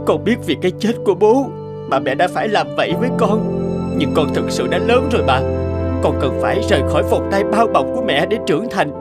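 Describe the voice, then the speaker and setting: A dutiful, stifled young son in a cartoon. He is earnest and plaintive, in a slightly high male voice. Music is loud behind him. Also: animated, a little fast. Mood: pleading. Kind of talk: animated moral tale dialogue.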